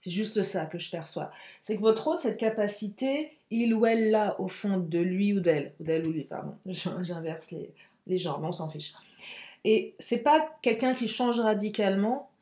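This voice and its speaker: Stuffy-nosed, hoarse woman reading tarot cards. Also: mid-range voice, expressive, mellow, roomy sound. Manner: speaks through the nose, halting with many fillers. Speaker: tarot card reader.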